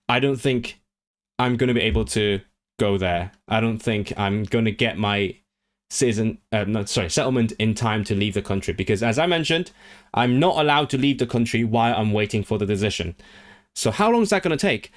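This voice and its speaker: Male streamer, lowish voice, casual rambling chat to stream audience at a home desk mic. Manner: rambling, frequent restarts and fillers. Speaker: language streamer.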